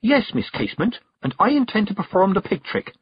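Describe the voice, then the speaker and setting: A man's voice: high-pitched, very animated, takes it slowly, husky, highs cut off, pitched above the performer's own voice, heavily acted. Man as a firm, determined young witch girl.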